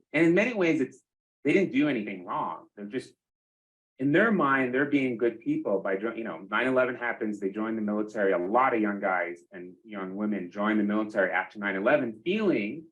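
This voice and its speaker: A man's voice: lowish voice, fairly bright tone, rough recording. A man speaking candidly and conversationally as a guest, often breaking off and rephrasing his thoughts. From Iraq or Iraqi family, American. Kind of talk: conversational personal account.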